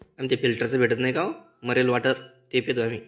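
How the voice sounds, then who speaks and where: A man's voice: mid-range voice, level tone, fast talker, lightly acted. A man playing a grumpy, sarcastic son-in-law in a comedy cartoon, speaking with a rural Maharashtrian accent. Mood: complaining. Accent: rural Maharashtrian Marathi. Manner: complaining, mocking. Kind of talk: comedy cartoon dialogue in colloquial Marathi.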